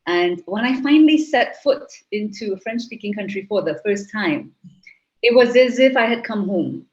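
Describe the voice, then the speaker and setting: A woman's voice: mid-range voice, lively intonation, normal speed, darkish tone. A middle-aged Trinidadian woman, a guest in an interview, speaking reflectively with frequent restarts. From Trinidad and Tobago. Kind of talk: interview conversation. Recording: slightly muffled audio.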